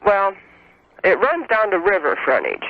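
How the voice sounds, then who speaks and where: A man with a high-pitched voice is on the phone to a radio advice show. He replies tersely and hesitantly. The line is dull and lo-fi. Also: wildly swooping pitch, measured pace, dry recording. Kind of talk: brief answers to an advice host. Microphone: telephone.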